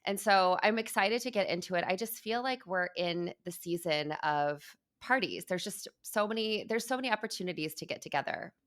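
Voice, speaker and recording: Woman, middle-aged, ex-chef co-host; relaxed and agreeable, mid pitch. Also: brisk, few pauses. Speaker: podcast co-host; former personal chef. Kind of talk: casual chat.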